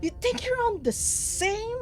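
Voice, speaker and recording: A high-pitched woman streamer with a bouncy, lively voice, chatting slowly and playfully and giggling between lines. Soft game music sits underneath her voice. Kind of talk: casual gaming stream chat.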